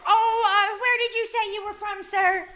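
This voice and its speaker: A woman's voice: very high-pitched, steady tone, moderate pace, sharp tone. A woman playing a wry, polite, easily flustered small-town wife in a live old-time radio comedy. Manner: polite exclamations with dry asides.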